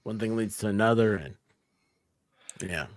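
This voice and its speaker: A man's voice: on the low side, a little slow. A man chatting casually on a stream who tosses in short, quippy remarks.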